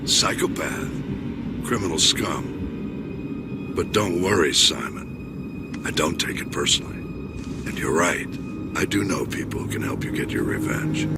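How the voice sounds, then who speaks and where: An actor playing a smug male criminal psychopath with a gravelly, very deep voice and playful menace. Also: lively intonation, measured pace, pauses often, over loud music, heavily acted.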